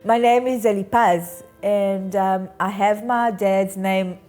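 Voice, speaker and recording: A woman's voice: mid-range voice, fairly even intonation, takes it slowly, darkish tone. Reflective woman with music underneath. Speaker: interviewee, bereaved daughter. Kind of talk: personal documentary interview.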